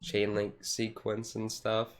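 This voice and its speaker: A man's voice: on the low side, completely flat delivery, slow pace, a little hiss or hum. A man chatting casually on a chill livestream, rambling along with lots of "like" and "and stuff". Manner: rambling, hedging with repeated "like" and "and stuff". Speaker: streamer. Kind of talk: relaxed ASMR chill stream.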